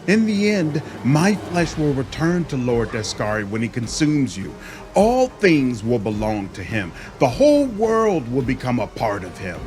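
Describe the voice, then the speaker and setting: A man's voice: mid-range voice, big pitch swings, a little slow, a little mellow. A man voicing a mocking, fanatical demon servant in a fantasy video game, theatrical, sneering and fervent. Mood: gloating fervour. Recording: music behind the voice.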